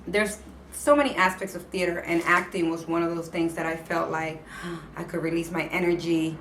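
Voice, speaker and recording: Passionate interview guest, female, slightly low voice, heard from a distance with faint background noise. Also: moderate pace. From Puerto Rico. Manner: passionate, expressive. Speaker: interview guest, entertainment correspondent. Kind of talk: casual interview answers. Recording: echoey room, distant mic, faint noise.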